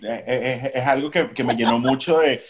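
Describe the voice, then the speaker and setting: Venezuelan man hosting a chatty podcast. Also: mid-range voice. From Maracay, Venezuela. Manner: animated, shifts from fast to slow with fillers. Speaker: podcast host; baseball stadium announcer. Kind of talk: casual podcast chat.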